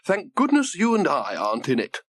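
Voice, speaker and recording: A man voicing a brisk, mischievous older grandmother in a children's story, emphatic and opinionated. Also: a little high, wildly swooping pitch, a bit bright, no room sound, voice pushed up, exaggerated voice.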